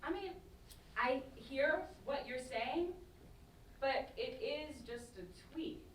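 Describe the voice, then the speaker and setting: A woman's voice: high-pitched, slow. A woman with a London accent playing a cheeky, joking young best friend in a staged reading, teasing and slangy with a mock-solemn streak. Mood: sceptical. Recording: distant, echoey stage recording, noisy background.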